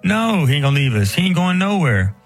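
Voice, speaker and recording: A man's voice: a little high, very animated, slightly rough, soft background music. A man who is a priest, explaining a Bible question in a casual, chatty way with many fillers. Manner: casual, filler-heavy, speeds up and slows down.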